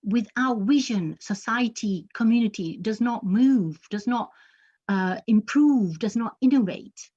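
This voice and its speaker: A woman poet whose first language is Urdu speaks earnestly and haltingly. Her voice is mellow and husky. The recording sounds dull and muffled. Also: on the high side. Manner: halting, with many restarts, earnest. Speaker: poet and teacher. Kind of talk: poetry reading and stage interview.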